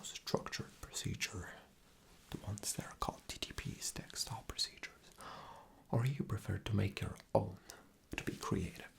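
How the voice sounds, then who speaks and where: A man's voice: fairly low pitch, vocal fry. A man doing an ASMR roleplay as an arrogant, impatient, rude boss, with a condescending, demanding manner. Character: arrogant, impatient, rude male boss interviewing a job applicant.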